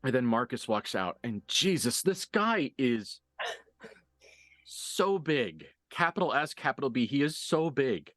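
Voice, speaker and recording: A man working as game master, improvising narration for a tabletop roleplaying game with deliberate, halting phrasing and dramatic emphasis. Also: medium pitch, very animated, fairly bright tone, no room sound. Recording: dry voice over background music.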